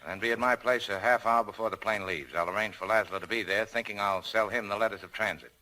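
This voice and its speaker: Hard-boiled American man, forceful low voice. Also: fairly even intonation, quick pace, low-quality recording. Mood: brisk, guarded. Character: hard-boiled, cynical but self-sacrificing American nightclub owner. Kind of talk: 1940s radio drama acting.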